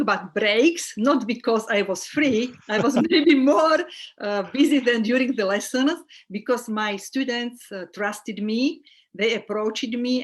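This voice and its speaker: A middle-aged woman from Slovakia with a Slovak accent, a holistic well-being coach talking earnestly as a guest in an online interview. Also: on the high side. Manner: earnest, with small restarts and fillers. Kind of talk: casual interview chat.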